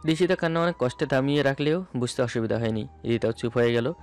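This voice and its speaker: Male storyteller, slightly low-pitched, first-person dramatic narration with music underneath. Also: quick pace, darkish tone. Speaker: narrator of a romantic audio story. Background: background music.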